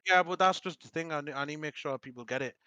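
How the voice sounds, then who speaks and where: A man's voice: fairly high pitch, fast talker, a crowd in the background. A young man hosting a casual podcast, rambling, laughing and losing his voice. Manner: rambling, laughing, repeats promotions. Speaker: podcast host.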